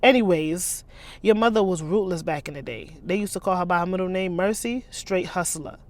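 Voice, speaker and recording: A woman's voice: fairly low pitch, normal speed, no room sound, natural voice. A woman voicing a short-tempered male ex-convict street boss in a novel, with an American accent and slangy, contemptuous delivery. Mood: boastful, relaxed.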